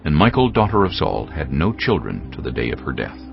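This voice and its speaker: A man's voice: extremely low pitch, very animated, brisk, creaky. A man reading scripted audio Bible narration as the narrator, with music behind him. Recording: muffled, band-limited audio.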